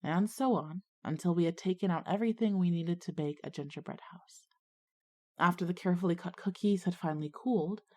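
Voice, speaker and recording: A woman acting as an earnest schoolgirl visionary, narrating her own ghost story in a measured way for an audio drama. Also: fairly low pitch, mellow, natural voice. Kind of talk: scripted first-person dramatic narration.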